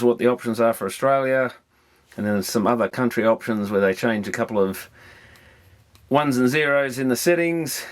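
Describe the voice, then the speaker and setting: Male repair-video presenter, medium pitch, matter-of-fact and rambling, casual think-aloud workbench commentary.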